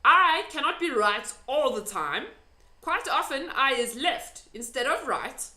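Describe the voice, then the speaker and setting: A woman voicing a friendly old male giant in a children's storybook, excitable and emphatic, with quaintly muddled grammar. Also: high voice, measured pace, voice pushed up, heavily acted. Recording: echoey room.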